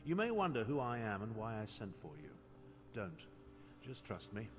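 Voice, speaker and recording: An actor playing a secretive, commanding imperial schemer in a fantasy video game, speaking in a formal, persuasive way. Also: male voice, fairly low pitch, sing-song, moderate pace. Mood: imperious, secretive. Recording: long hall reverb, music underneath. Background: game music.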